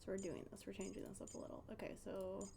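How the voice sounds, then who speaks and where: A woman chatting casually on a game livestream, halting and repeating herself as she thinks aloud. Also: medium pitch, little pitch movement, creaky, background noise. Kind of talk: casual gaming stream chat. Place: indoors, streaming with game audio behind.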